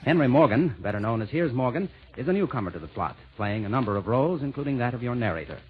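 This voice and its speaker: Male announcer, 1940s radio style, formal scripted delivery, lively intonation, narrow phone-like old recording. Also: mid-range voice, quick pace, music in the background.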